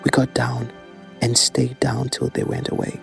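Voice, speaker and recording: A man's voice: medium pitch, monotone, a little slow, gravelly, soft background music. An actor playing an anxious young man who recounts a night lost outdoors in an informal, hesitant way, for a horror audio drama. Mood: uneasy recounting. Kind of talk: first-person horror audio drama monologue.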